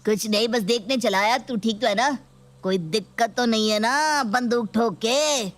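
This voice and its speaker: A woman's voice: a little high, normal speed, natural voice. A woman voicing a cocky, protective young male thug with a blunt, bragging manner in a story video. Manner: blunt bragging with a repeated gun catchphrase. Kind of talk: dramatised Hindi story video.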